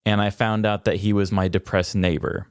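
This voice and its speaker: A man's voice: fairly low pitch, flat delivery, normal speed, clean tone, no echo, studio quality. A man co-hosting a podcast, telling personal stories in a casual, conversational way and acting out bits of dialogue. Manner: conversational, anecdotal, quotes people in dialogue. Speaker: podcast co-host. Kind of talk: casual storytelling chat.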